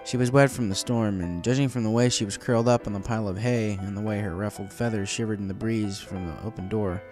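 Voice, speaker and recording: A man's voice: on the low side, steady tone, fast talker, over music. A man reading scripted story narration as the narrator of a fan tale.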